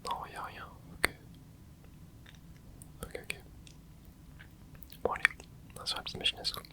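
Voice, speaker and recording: Young male ASMR gamer, steady close whisper, laid-back play-by-play. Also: a little slow. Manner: soft close whisper, relaxed running reactions. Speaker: ASMR gaming channel host. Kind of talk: whispered ASMR gameplay commentary.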